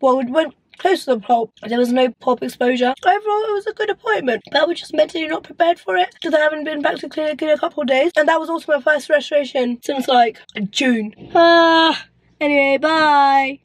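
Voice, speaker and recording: A woman's voice: high voice, brisk, no room sound. A young woman in her twenties, a dental student, chatting casually to a phone held at arm's length in her car and bedroom, her voice still recovering from illness. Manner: chatty, rambling, self-deprecating. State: recovering from lost voice. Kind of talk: casual vlog chat to camera. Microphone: phone camera at arm's length.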